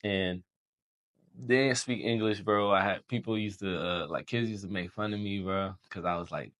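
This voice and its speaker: A young man from Haiti, a Creole speaker, telling his story as a podcast guest in relaxed slangy chat. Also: fairly low pitch, normal speed. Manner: relaxed storytelling, slangy, says bro often. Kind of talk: casual chat.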